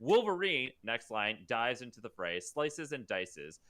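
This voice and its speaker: A man's voice: mid-range voice, sing-song, unhurried, over a noisy crowd. A man co-hosting a live comedic table read, reading stage directions aloud and stopping to joke. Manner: reads stage directions aloud, breaking off for jokes. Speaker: podcast co-host.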